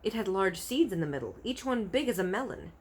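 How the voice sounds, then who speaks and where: A woman narrating a children's story aloud in an expressive read-aloud style. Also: mid-range voice, a little fast, husky. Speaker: storybook reader.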